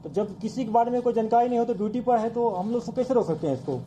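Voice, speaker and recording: A man's voice: very high voice, very fast, mellow. A man with an Indian accent giving an official press statement as a police chief. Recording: dull audio with faint background noise.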